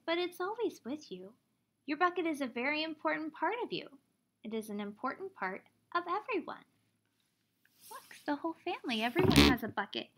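A woman in her thirties reading a children's picture book aloud like a teacher, expressively, pausing to explain things simply for young children. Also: high voice, a bit bright. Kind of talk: children's storybook read-aloud.

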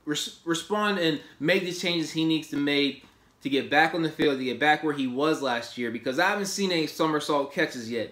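An enthusiastic man in his thirties giving a casual fan review of a game from his desk. His voice sits a little on the high side, and the small room around him sounds noticeably echoey. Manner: enthusiastic, animated, gestures while talking. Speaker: sports podcast host. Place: small home office, indoors.

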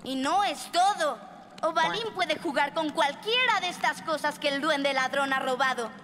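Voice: high voice, expressive, moderate pace, bright, forceful tone. A performer voicing a childlike, sly but doting thieving goblin in a children's cartoon dub, with a cooing manner. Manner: cooing, talks of self in third person. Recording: music behind the voice. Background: music.

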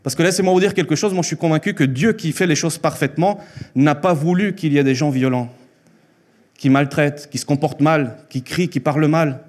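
A man in his thirties, born in Mexico with Spanish as his first language, preaching an earnest sermon in a church hall into a handheld mic, repeating phrases for emphasis and slowing down on key lines. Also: fairly high pitch, darkish tone.

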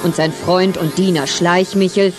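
A woman's voice: on the low side, steady tone, moderate pace, loud music behind the voice, lightly acted. A woman narrating a scripted children's story.

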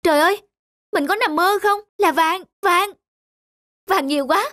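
A woman voicing a kind, earnest young peasant wife in an animated folk tale, with a gentle manner. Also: very high-pitched, a little slow. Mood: astonished.

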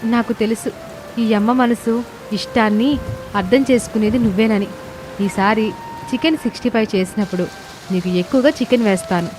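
A woman's voice: a little high, normal speed, pauses often, over music, lightly acted, rough recording. A woman playing a dutiful, easily hurt young daughter-in-law in a cartoon moral story, plaintive and respectful.

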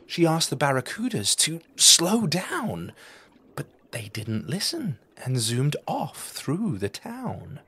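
A man reading a children's story aloud in a deliberate, theatrical storytelling manner. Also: a little high, huge pitch swings, bright, forceful tone, breathy edge. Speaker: storybook reader. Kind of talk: expressive children's story narration.

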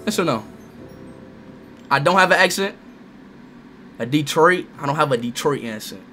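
Loud excitable young man streaming. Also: a little high, moderate pace, faint music behind. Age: young adult. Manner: excitable, talks to chat, repeats words. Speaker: livestreamer. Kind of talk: loud gaming livestream chat. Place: bedroom streaming setup, indoors.

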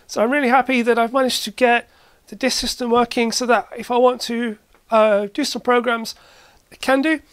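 Casual tech hobbyist host, mid pitch, halting delivery, matter-of-fact, thinking aloud through a demo. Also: steady tone, clean tone, no echo. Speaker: host, retro computer hobbyist. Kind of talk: casual hands-on demonstration. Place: indoor cluttered workshop.

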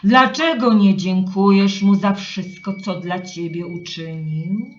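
Kobieta jako surowy, władczy bóg bagien. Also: female voice, mid-range voice, muffled recording, close to an everyday voice. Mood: stern. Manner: commanding, deliberate, formal. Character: stern, imperious salt-marsh god, honourable.

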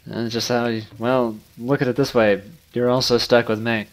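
A man's voice: mid-range voice, level tone, moderate pace. Casual young man chatting over a game. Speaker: gaming video host. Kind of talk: casual gameplay chat.

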